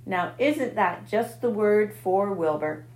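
A woman voicing a cheerful, middle-aged male farmer who speaks briskly, in an echoey room. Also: mid-range voice, animated, darkish tone.

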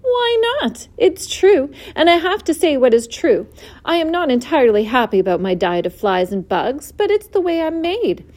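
A thin, pleasant storybook spider, clever and bold, as a woman reading aloud puts her on. She speaks briskly and with assurance, her pitch set high and swinging widely. Her tone stays mellow rather than sharp. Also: full character voice. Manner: brisk and self-assured, lecturing. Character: clever, bold female spider, matter-of-fact.

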